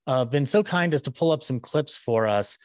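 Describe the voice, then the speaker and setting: A man in his thirties hosting a casual panel discussion, talking in a halting, enthusiastic way. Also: medium pitch, lively intonation, dry recording, studio quality. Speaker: podcast host and moderator. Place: small room indoors, video call. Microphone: podcast mic on boom arm, headset.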